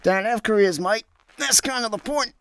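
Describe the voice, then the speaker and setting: A man's voice: high voice, moderate pace. A Scottish man playing a laid-back, wry space slacker in a comedic radio play, dry and matter-of-fact.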